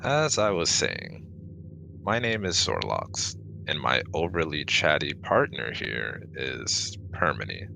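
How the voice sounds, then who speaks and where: A man acts out an officious male alien scientist in an audio roleplay, with a rock-bottom, creaky voice. His wording is precise and formal and his patience is short, yet his intonation moves around a lot. There is clear noise in the recording. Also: a bass voice, sing-song, slow, exaggerated voice. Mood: formal, reassuring. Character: formal, easily exasperated male alien exobiologist. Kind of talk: scripted ASMR audio roleplay.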